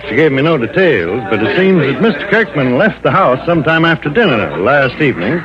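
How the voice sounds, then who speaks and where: A man's voice: on the high side, big pitch swings, brisk, a crowd in the background. An American man playing a cautious, fussy insurance executive in an old-time radio drama, precise and formal but often hesitant and hedging. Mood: businesslike. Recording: dull vintage radio recording.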